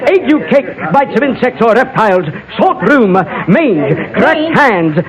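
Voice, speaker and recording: A grandiose frontier huckster hawks cures in a very high-pitched voice. His delivery is florid and theatrical, in the manner of old-time radio drama. A crowd is heard behind him. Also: very animated, measured pace, muffled recording, full character voice. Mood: grandiose, hawking. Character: fast-patter frontier medicine-show salesman, grandiose huckster.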